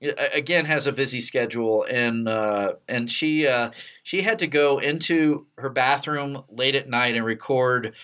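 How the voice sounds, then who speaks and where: A middle-aged man from Texas with an American accent hosts a casual behind-the-scenes chat, telling stories in a halting, rambling way. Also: medium pitch, bright, forceful tone. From Texas, United States. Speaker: podcast host and radio play producer.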